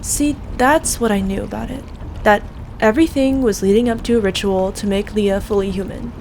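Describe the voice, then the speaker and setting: A woman's voice: medium pitch, moderate pace, darkish tone, natural voice. A woman acting in an audio roleplay as a skeptical young friend who asks blunt, casual questions. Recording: faint water and boat noise.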